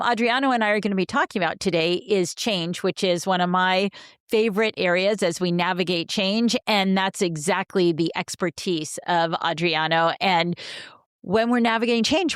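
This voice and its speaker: A woman's voice: lowish voice, dry recording, clean studio sound. A woman hosting a leadership podcast, reading a scripted intro and chatting with a guest in an upbeat, enthusiastic way. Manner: upbeat, enthusiastic, warm questions. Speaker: podcast host and coach. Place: home office, video call.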